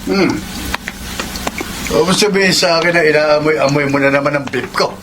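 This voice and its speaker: An actor playing a defensive, short-tempered cheating husband in a Filipino radio drama, dismissive and blustering, with a Filipino accent. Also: male voice, a little high, moderate pace, natural voice. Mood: casual, evasive. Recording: noisy radio drama with background ambience.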